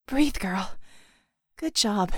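Narrator playing a tough woman coordinator, slightly hoarse, supportive, audiobook heroine, dry close sound. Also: medium pitch, animated, very slow, fairly bright tone, no echo, exaggerated voice. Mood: reassuring.

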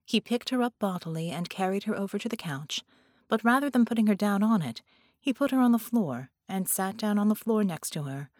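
A woman reading fiction as an audiobook narrator, with dramatic, deliberate and expressive phrasing. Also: medium pitch, dry recording, studio quality.

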